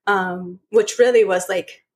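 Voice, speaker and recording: A woman's voice: mid-range voice, fairly even intonation, a little slow. A woman from Chile, a podcast guest chatting casually, laughing often and leaning on filler words. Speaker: guest, spiritual coach.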